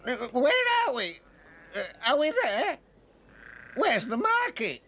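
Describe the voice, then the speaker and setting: A confused, sleepy old granny from a children's cartoon. The voice is extremely high and animated, questioning, with faint noise behind. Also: male voice, slow pace, heavily acted. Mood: befuddled. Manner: muddled, questioning.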